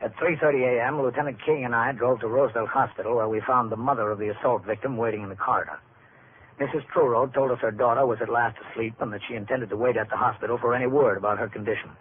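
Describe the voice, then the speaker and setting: An actor playing a steady, authoritative police captain with measured, matter-of-fact speech in an old-time radio drama. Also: male voice, mid-range voice, close to an everyday voice. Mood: flat narration. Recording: tinny, noisy vintage radio recording.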